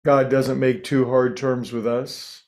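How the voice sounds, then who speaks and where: A man leading a guided meditation as a teacher, speaking in a gentle, soothing way with frequent pauses. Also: mid-range voice, level tone.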